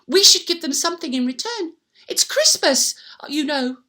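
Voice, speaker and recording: Woman playing a high-voiced, earnest old wife. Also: sing-song, moderate pace, pushed and bright, heavily acted. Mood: concerned. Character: old shoemaker's wife, thoughtful, kindly, insistent.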